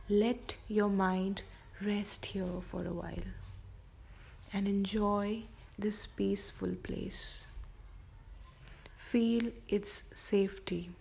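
A woman softly whispering a guided sleep meditation for children, slow and gentle. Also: medium pitch, vocal fry, slight background noise. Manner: soft whispered delivery.

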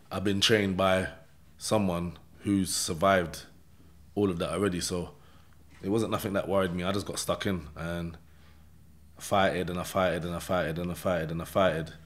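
A man's voice: low voice, steady tone, moderate pace, a bit bright. A man with a London accent tells his own story casually in streetwise slang, often checking in with short tags like "yeah?". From London, England. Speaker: podcast guest telling his life story. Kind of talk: casual first-person storytelling.

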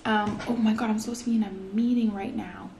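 A woman's voice: medium pitch, fairly even intonation, fast talker, creaky, background noise. A young woman in her twenties from Canada, chatting casually to camera at home in an upbeat way, filmed on a nearby camera. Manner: chatty, upbeat, lots of "like" and "you guys". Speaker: vlogger. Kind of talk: casual vlog chat to camera. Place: living room at home, indoors.

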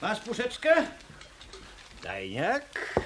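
Huge swooping, blustering ex-boxer. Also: male voice, sits high, wildly swooping pitch, extremely slow, background noise, full character voice. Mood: boisterous. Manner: repeats himself, stammering bluster. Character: huge, blustering male ex-boxer, rough and suspicious. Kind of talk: radio play acting.

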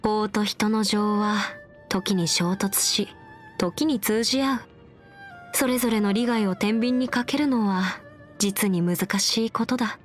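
A woman's voice: medium pitch, a little slow, soft background music, natural voice. A woman voicing a confident, bookish young female legal expert in a video game, speaking in a self-assured, formal, lecturing way. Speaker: video game character voice actor. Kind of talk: scripted anime-style game character monologue.

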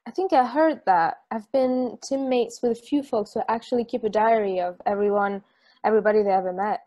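A woman's voice: a little high, fairly quick, mellow, natural voice. A young woman playing a casual, guarded traveler chatting at a bar, in an online table read of a stage play. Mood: casual, curious. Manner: casual, plainspoken. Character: plainspoken, guarded female traveler, easygoing bar customer. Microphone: video call microphone.

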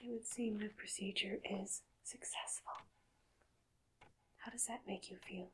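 A woman speaking in a soft, breathy whisper, slow and soothing, as a calm doctor in an ASMR roleplay. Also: mid-range voice, low-quality recording. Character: calm hypnotist doctor addressing a robot patient.

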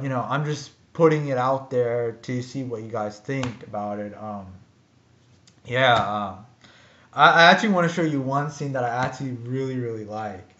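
A young man casually reviewing a comic book to a webcam in his bedroom, rambling with lots of fillers and waving his hands. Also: mid-range voice. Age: young adult. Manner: rambling, filler-heavy, animated gestures. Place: bedroom with ceiling fan, indoors. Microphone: webcam built-in mic. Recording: roomy, dull lo-fi webcam audio.

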